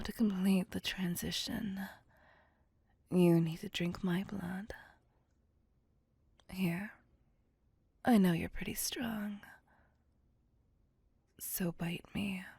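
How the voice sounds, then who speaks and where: A woman playing a seductive, possessive vampire lover in an intimate ASMR roleplay, breathy and whispered, with teasing menace. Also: on the low side, mellow, no room sound, studio quality, full character voice. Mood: hungry, seductive. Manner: slow, teasing, theatrical purring menace.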